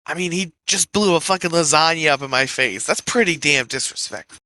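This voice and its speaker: A man's voice: fairly high pitch, a little fast, bright, forceful tone, no echo. A man playing a tabletop role-playing game, talking in casual, slangy, profane banter.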